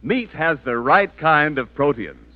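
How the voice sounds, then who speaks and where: A man's voice: fairly high pitch, animated, measured pace. An American man reading scripted narration and sponsor messages as a 1940s radio announcer. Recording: muffled vintage radio recording.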